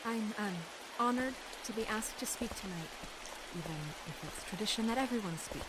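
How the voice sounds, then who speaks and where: A woman playing a composed, earnest eulogist in a game, giving a solemn, formal speech in a measured, halting way. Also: on the high side, lightly acted. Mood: solemn, tender. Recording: faint rain and background noise.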